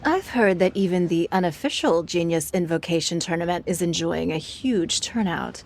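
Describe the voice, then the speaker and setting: A woman playing a shrewd, refined, wealthy businesswoman in a video game, speaking in a measured, formal, eloquent way. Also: on the low side, slight background noise, natural voice. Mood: gracious, philosophical. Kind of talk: game dialogue acting.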